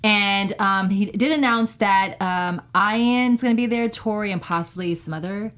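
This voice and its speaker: Casual woman with medium-pitched voice. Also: a little slow, a bit bright. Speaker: talk show panelist reporting news and gossip. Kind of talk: casual chat.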